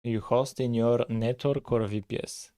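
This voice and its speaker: A man, talking casually on a live coding stream, thinking aloud with frequent pauses and "uh"s. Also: medium pitch, steady tone, warm tone. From Bulgaria. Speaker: live-streaming game developer.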